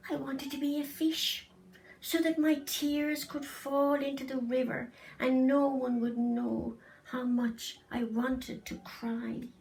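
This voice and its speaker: A woman reading a children's story aloud in an expressive, dramatic way. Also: high voice, gravelly, low-quality recording. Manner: slow, dramatic reading with hushed and emphatic phrases. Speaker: story reader.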